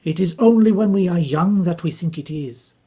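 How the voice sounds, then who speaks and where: A woman reading the part of an older male detective, calm and formal, in a slow, deliberate husky voice. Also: lowish voice. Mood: grave.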